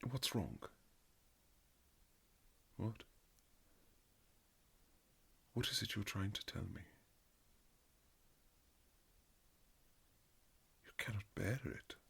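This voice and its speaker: A man playing a reserved aristocratic husband in an intimate romantic period audio drama, speaking formally and haltingly with earnest tenderness in a gravelly, husky voice. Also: lowish voice, a bit bright, full character voice. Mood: yearning, stunned. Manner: formal, old-fashioned, halting, earnest phrasing.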